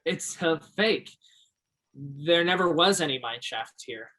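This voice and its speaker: An actor playing an earnest, curious twenty-two-year-old backwoods local in a stage play, gentle and simple in manner. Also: medium pitch, lively intonation, slow, full character voice, low-quality recording. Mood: matter-of-fact.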